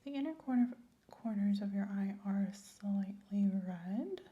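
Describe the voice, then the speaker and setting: A woman playing a calm, attentive doctor in an ASMR medical roleplay, speaking softly and often whispering as she gently instructs and checks in. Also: medium pitch, measured pace, lo-fi sound, lightly acted. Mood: calm, reassuring.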